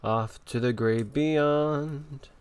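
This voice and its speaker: Woman, extremely low pitch, very slow pace, casual gaming remarks. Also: a little mellow, clean tone.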